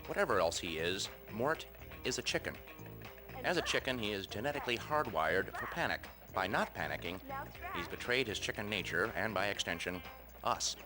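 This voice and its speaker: An actor playing a self-important chicken expert, speaking in a measured, pedantic way on a parody game news show. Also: male voice, lowish voice, lively intonation, music in the background, close to an everyday voice. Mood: pedantic, smug. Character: pompous male chicken professor, pundit on TV.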